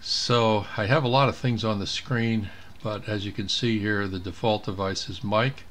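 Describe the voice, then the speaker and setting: A man's voice: fairly low pitch, fairly even intonation, normal speed, fairly bright tone. A man giving a casual screen tutorial as an amateur radio operator, thinking aloud as he works. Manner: thinking aloud while working. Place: indoors at a computer.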